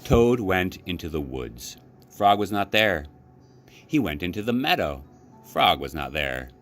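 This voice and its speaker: Slow, low-voiced male storyteller. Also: soft background music. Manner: expressive, simple deliberate phrasing. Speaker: library storyteller. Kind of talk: children's storybook read-aloud.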